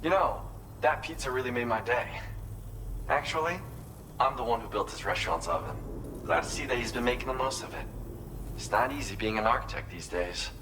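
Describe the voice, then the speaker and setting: A man's voice: medium pitch, expressive, brisk, natural voice. An actor playing a wistful, proud architect in a video game, speaking earnestly and reflectively as he explains his craft. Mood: friendly, grateful. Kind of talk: video game cutscene dialogue. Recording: clear background noise under the voice.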